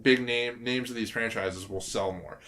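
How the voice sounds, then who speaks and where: Male panelist, middle pitch, casual opinionated podcast talk, somewhat halting and repetitive. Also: steady tone, fairly bright tone.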